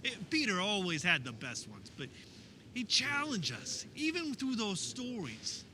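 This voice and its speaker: Casting: male actor as an earnest fisherman disciple, high voice with wide swings, wistful radio-theater monologue, noisy recording. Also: wildly swooping pitch, heavily acted. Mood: fond, wistful. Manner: conversational, wistful storytelling with wondering pauses. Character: earnest fisherman disciple of Jesus, fondly recounting memories. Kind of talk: dramatic first-person monologue in religious radio theater.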